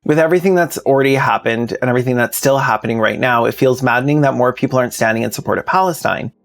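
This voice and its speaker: A man's voice: mid-range voice, quick pace, creaky. A man narrating a scripted commentary video in a plainspoken, informal way with sardonic asides. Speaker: video essayist, host.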